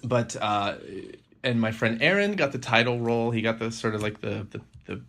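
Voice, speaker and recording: A man hosting a podcast, telling stories in a casual, rambling, halting way with frequent self-corrections. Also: medium pitch, fairly bright tone. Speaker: podcast host.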